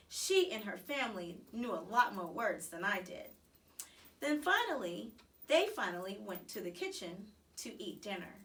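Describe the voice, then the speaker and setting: A woman reads a children's story aloud with very lively, varied intonation. She is an expressive narrator, recorded at a slight distance from the microphone. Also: mid-range voice. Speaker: storybook reader.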